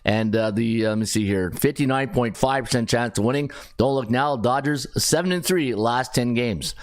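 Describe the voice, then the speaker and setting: A middle-aged man with a raspy, worn voice runs through the day's betting odds in a loose, chatty way. He sits at a mid-range pitch and keeps stopping to correct himself and fill gaps with "uh". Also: moderate pace. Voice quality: chatty, rambling with fillers and self-corrections. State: raspy, strained voice. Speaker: sports betting podcast host. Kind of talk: casual sports betting rundown.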